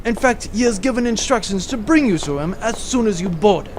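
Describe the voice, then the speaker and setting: A man's voice: high-pitched, animated, a little fast, a little hiss or hum. A man voicing a stiff, overly polite male steward on a luxury cruise ship in a comedy audio drama. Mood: formal.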